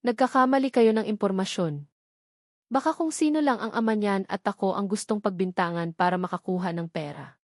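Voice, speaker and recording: A woman's voice: medium pitch, fairly quick, no room sound, natural voice. A woman plays an arrogant, hot-headed young heir in an audio romance drama. The spoiled son is scornful and defiant, heard in a dry, close sound.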